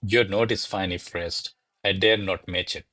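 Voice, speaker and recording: A man acts out a diffident male secretary in a classic radio play. His voice sits fairly low, with formal, courtly phrasing and careful deference. The sound is dry, with little room around it. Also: steady tone, moderate pace, bright, forceful tone, no echo. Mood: humble, deferential. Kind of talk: stage verse drama read as radio play.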